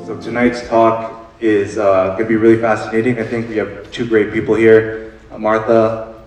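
A man's voice: fairly low pitch, flat delivery, normal speed, a little mellow, faint music behind. A man moderating a live stage talk, speaking casually with many ums and likes. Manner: casual, filler-heavy, upbeat. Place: theater stage before an audience. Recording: echoey theater from far off.

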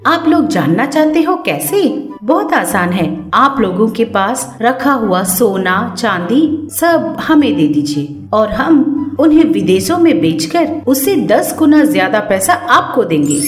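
A woman voicing a female TV news anchor in a cartoon, pitching riches in a persuasive, salesy way. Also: very animated, slow pace. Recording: roomy echo, music underneath.

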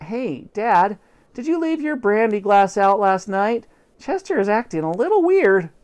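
A teacher doing an excitable young boy. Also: mid-range voice, a little slow, voice pushed up. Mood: puzzled. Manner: tattling, eager.

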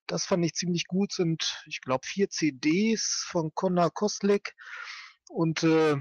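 A man giving a recommendation as a podcast guest, halting and matter-of-fact in casual chat. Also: on the high side, no room sound. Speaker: podcast guest, audio drama author.

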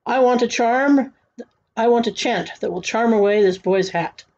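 A woman's voice: on the high side, moderate pace, darkish tone, muffled recording, close to an everyday voice. A woman voicing an irritable, fretful king who gives exclamatory commands in a storybook read-aloud. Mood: commanding. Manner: exclamatory, imperious.

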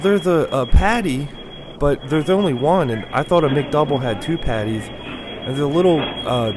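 A young man making a prank phone call as a confused customer, hesitant, bumbling and deadpan. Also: fairly high pitch, unhurried, background noise. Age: young adult. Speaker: prank caller. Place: small cluttered room indoors. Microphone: microphone on a stand, close.

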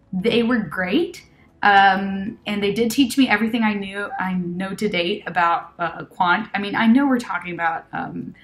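A woman's voice: fairly low pitch, a bit bright. A woman in her twenties from Argentina, a native Spanish speaker with an American-leaning English accent, giving a casual testimonial over a laptop webcam. She talks in a chatty way with many fillers and self-corrections. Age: 20s. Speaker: test-prep student giving a testimonial. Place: small indoor room with red wall.

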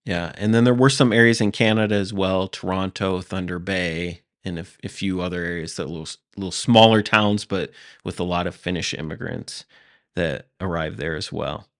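Low-voiced man explaining calmly. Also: moderate pace, no echo, studio quality. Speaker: podcast host presenting history. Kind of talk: casual explanatory talk over maps.

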